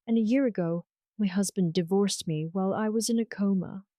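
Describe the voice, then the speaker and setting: A woman's voice: medium pitch, a little fast, warm tone, no room sound, lightly acted. A woman voicing a tender, resolute young survivor in an audio romance story, speaking earnestly and with measure.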